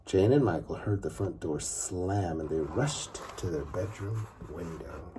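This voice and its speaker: A man reading a children's story aloud in an expressive, unhurried way with dramatic pauses and a husky, gravelly voice. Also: deep voice, background noise. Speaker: father reading a bedtime story.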